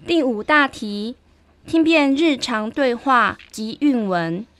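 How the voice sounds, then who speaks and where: A woman announcing listening-exam item numbers and instructions in a slow, measured way. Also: a little high, expressive, fairly bright tone. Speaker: test announcer.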